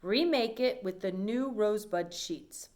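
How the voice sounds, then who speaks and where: Lady of the house, read by a woman: storybook, busy, courteous, briskly handing out instructions. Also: mid-range voice, a little mellow, lightly acted. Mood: instructing. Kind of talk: children's storybook character reading.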